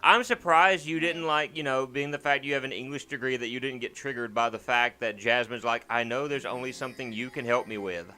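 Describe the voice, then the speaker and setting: A man's voice: medium pitch, a little fast, few pauses, bright, forceful tone, low-quality recording. A man giving casual let's play commentary as host, rambling and joking, often repeating his words. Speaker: gaming channel host.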